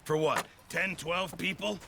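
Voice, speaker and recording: A man's voice: high voice, measured pace, vocal fry, bright, forceful tone, a little hiss or hum, heavily acted. A man playing a grumbling, long-winded middle-aged camp cook and former sailor, complaining and blustery, in a western game. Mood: worried, reminiscing.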